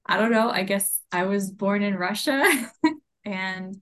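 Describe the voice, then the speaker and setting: A young woman who grew up in California, chatting casually and softly as a guest on a video call. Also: medium pitch, fairly even intonation, measured pace. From California, Bay Area. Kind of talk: casual interview chat.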